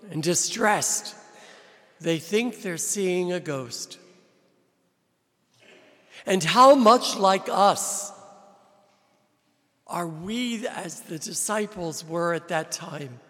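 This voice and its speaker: A man preaching a sermon as a visiting priest, from New Jersey, with slow, deliberate delivery and emphatic rises. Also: sits high, fairly bright tone. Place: large church with long reverb. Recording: reverberant church hall.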